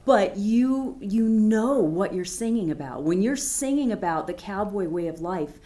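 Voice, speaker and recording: A woman's voice: medium pitch, animated, unhurried, dark tone. A woman hosting a podcast interview from a converted barn office, chatty and enthusiastic. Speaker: podcast host.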